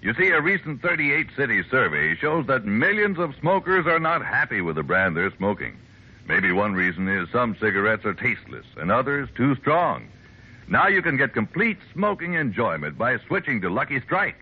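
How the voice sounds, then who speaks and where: A man's voice: mid-range voice, very animated, normal speed, a little hiss or hum. A man reading a 1950s radio commercial as announcer, on a muffled old recording.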